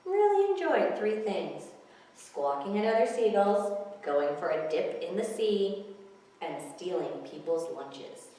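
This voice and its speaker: A woman reads picture books aloud expressively as a children's story time host. Her medium-pitched voice comes from away from the mic, in a very echoey space with long reverb. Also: very animated, unhurried, dark tone. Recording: very echoey, distant mic, faint noise.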